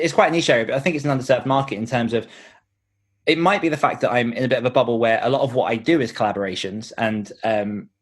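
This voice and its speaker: A man giving earnest, explanatory answers in a conversational podcast interview, thinking aloud as he goes. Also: lowish voice. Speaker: podcast host and author being interviewed.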